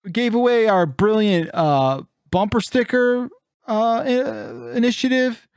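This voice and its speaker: A man's voice: high voice, big pitch swings, a little slow, a little mellow, clean tone, no room sound. Man bantering, close and dry. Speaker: podcast co-host. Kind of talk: casual comedic banter.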